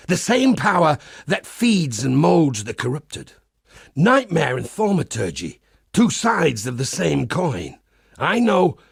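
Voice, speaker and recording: A man voicing a zealous, wry templar priest in a video game, with a gravelly, raspy voice and a preachy, theatrical delivery. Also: fairly high pitch, dry recording, studio quality, full character voice. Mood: confiding, earnest. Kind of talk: video game character voice acting.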